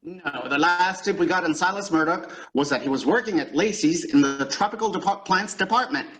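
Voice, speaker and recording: A man's voice: sits high, fairly quick, a bit bright, rough recording. A man playing a flustered, impatient boss in old-time radio comedy style, blustering and stammering. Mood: exasperated, blustery. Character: flustered, impatient boss with a dictation-taking secretary.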